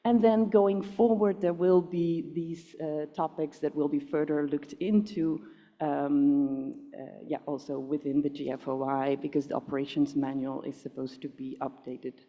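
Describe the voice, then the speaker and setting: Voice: medium pitch, steady tone, a little slow, warm tone. A presenter walks through slides at a conference in a casual, hesitant way. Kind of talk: presentation walkthrough. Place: large conference hall. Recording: muffled conference hall reverb.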